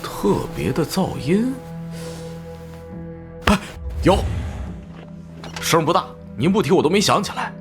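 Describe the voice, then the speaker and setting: An actor playing a sheepish, henpecked working-class man in his forties in an animated crime drama, deferential, folksy and hesitant. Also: high voice, slow pace, pauses often, faint music behind. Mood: puzzled recollection. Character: sheepish, henpecked 45-year-old working-class male driver.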